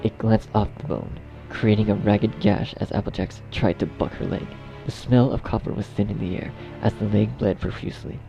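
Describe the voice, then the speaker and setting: A man's voice: lowish voice, steady tone, moderate pace, gravelly, few pauses, mellow, highs cut off, soft background music. A man narrating a scripted horror story.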